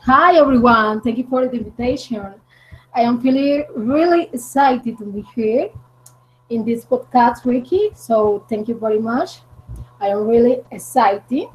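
A Colombian woman in her twenties with a Spanish accent, answering interview questions in halting English with many fillers. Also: fairly high pitch. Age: 20s. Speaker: podcast guest. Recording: dull lo-fi audio.

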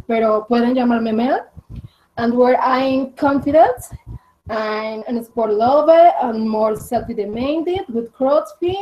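Slow-talking Colombian woman in her twenties. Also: high voice, mellow. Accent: Colombian Spanish. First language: Spanish. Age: 20s. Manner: halting non-native English with fillers and Spanish asides. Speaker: podcast guest. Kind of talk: casual interview answers. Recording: dull lo-fi audio.

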